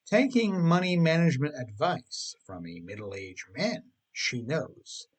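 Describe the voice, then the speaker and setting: A man's voice: fairly high pitch, big pitch swings, a little slow, slightly rough. A middle-aged man narrating his own self-help audiobook as its author, emphatic and instructive, addressing the listener directly. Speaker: author reading own book.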